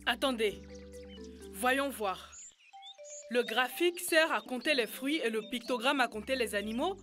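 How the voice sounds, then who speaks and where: Bright, thoughtful cartoon child. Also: female voice, on the high side, lively intonation, a little slow, soft background music. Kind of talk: dubbed educational cartoon dialogue.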